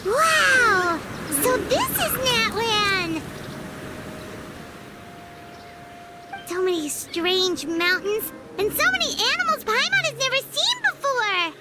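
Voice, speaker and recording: A woman voicing a small, childlike, excitable fairy companion in a video game, with a squeaky, chirpy delivery. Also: unhurried, few pauses, background noise. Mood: amazed. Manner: chirpy, speaks of self in third person. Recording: game music behind the voice.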